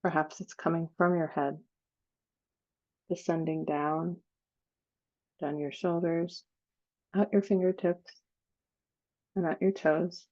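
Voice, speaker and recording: Female meditation guide. Lowish, dark and soft-toned, unhurried and soothing, leaves long gaps. Slightly dull recording. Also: fairly even intonation. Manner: slow, soothing, with long pauses.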